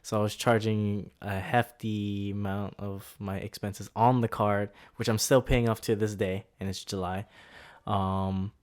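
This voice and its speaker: A man's voice: lowish voice, level tone, a little slow, darkish tone. A man in his twenties, co-hosting a podcast in a laid-back, rambling way, often laughing. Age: 20s. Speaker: podcast co-host. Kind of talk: casual chat.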